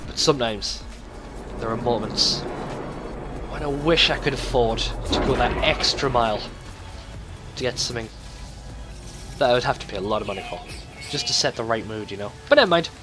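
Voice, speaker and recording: A man's voice: mid-range voice, moderate pace, pauses often, music in the background. A man hosting a gaming video, talking casually and thinking aloud in a conversational let's play commentary.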